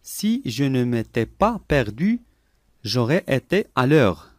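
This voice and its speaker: Male lesson reader: deliberate, clear, repeats each phrase, animated intonation. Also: mid-range voice, no room sound, studio quality. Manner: clear, deliberate, each sentence said three times. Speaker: language lesson voice. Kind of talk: slow repeated phrase reading for learners.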